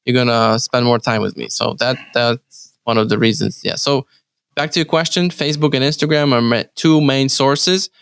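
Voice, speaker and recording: A man from Ukraine speaking English as his second language with a Ukrainian accent, answering interview questions in a halting way with many restarts and fillers. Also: medium pitch, creaky, a bit bright, clean tone, no room sound, clean studio sound. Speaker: real estate team leader, guest.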